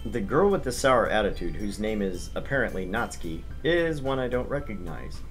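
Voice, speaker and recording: A man's voice: on the low side, sing-song, moderate pace, faint music behind. A man streaming a visual novel, reading the narration aloud with casual asides.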